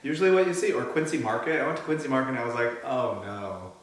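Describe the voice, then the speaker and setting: A man's voice: medium pitch, lively intonation, quick pace, very echoey. A young man co-hosting a vlog, with teasing, playful banter. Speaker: podcast co-host. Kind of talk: casual vlog chat.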